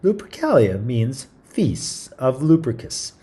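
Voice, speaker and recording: Man, read-aloud storyteller, lively intonation, mellow relaxed tone, informal, casual asides. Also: mid-range voice, slow pace. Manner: informal, chatty, stumbles while reading. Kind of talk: reading a picture book aloud with casual asides.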